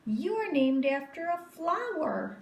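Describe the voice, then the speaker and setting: Haughty know-it-all schoolgirl for a storybook, voiced by a woman: pointed, teasing, raised pitch. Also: sing-song, slow pace, creaky, heavily acted. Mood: smug taunting. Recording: roomy echo, faint noise.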